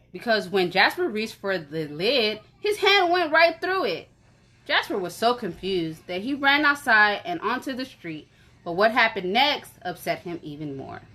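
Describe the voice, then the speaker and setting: Woman storyteller with lively, swooping intonation. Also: mid-range voice. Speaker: storyteller reading aloud. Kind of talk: expressive children's story narration.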